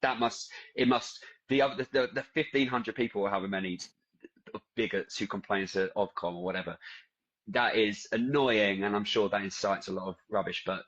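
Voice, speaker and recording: Low-voiced man, dull video call. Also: a bit bright. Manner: halting, restarts phrases, agreeable. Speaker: interviewer, journalist. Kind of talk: casual interview.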